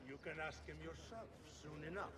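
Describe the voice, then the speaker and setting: A man's voice: on the high side, moderate pace, in a large hall, a crowd in the background, natural voice. A man playing a proud, commanding conspirator nobleman with an Italian accent in a video game cutscene, haughty and declamatory. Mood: urgent command. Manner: authoritative, declamatory.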